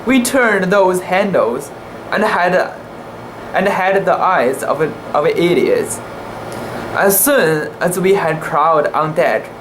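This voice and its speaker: Slow, careful amateur reader, faint background hum. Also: mid-range voice. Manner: halting, careful reading with imperfect pronunciation. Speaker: amateur narrator. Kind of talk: dramatic reading of a literary sea story.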